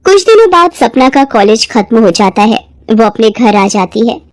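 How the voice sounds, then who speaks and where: A woman tells a scripted tale as narrator. Her voice is high, and the recording is dry and close, with almost no room sound. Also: sing-song, normal speed, no echo. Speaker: storyteller.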